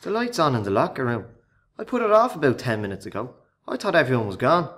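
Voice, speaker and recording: Male actor as doubtful Irish accomplice, mid-pitched, lively intonation, uneasy and reluctant, radio drama. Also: quick pace. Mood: wary. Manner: doubtful, worried. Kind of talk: radio play acting.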